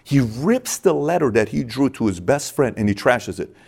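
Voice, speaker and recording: A man's voice: mid-range voice, expressive, normal speed, gravelly, a little mellow, breathy edge. A man from Iran in his thirties, speaking as an interview guest, emphatic and repeating lines for stress. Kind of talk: candid interview talk.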